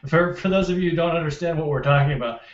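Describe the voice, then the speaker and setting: A man's voice: a little high, fast. A man co-hosting a show, bantering playfully with sarcasm over a video call. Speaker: co-host. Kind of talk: casual banter. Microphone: video call from a distance. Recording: distant, echoey, muffled call audio.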